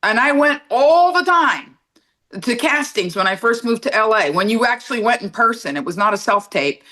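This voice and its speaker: A woman's voice: on the high side, lively intonation, normal speed, bright, forceful tone. Wry woman telling a comic story. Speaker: guest, comedian. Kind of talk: casual panel chat.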